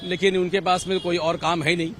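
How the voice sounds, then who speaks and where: A man's voice: high-pitched, flat delivery, brisk, fairly bright tone. A man with an Indian accent answering a street interview, emphatic and given to repeating his points. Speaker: member of the public interviewed. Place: outdoors on a city street. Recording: outdoor street, faint traffic noise.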